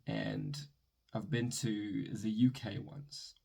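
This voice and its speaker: A man's voice: on the low side, sing-song, slow, gravelly. A young man from South Africa with a South African accent, chatting casually to camera in his room, rambling and correcting himself as he goes. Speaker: vlogger. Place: his bedroom.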